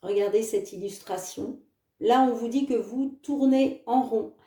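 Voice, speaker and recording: A woman's voice: on the high side, warm tone, echoey room, far from the mic. A woman reading oracle cards for her viewers in a casual, chatty way, with many filler words. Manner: chatty, fills with "hein" and "les amis"; reads card messages slowly. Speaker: tarot card reader.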